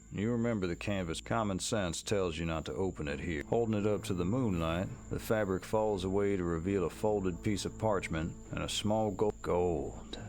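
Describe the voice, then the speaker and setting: A man's voice: deep voice, darkish tone, a little hiss or hum, lightly acted. A man performing scripted second-person narration as the storyteller of a Wild West mystery game.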